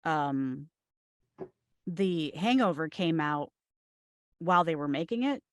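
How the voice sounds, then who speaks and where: A woman's voice: low-pitched, animated, slow, no echo. Woman in casual chat, close dry sound.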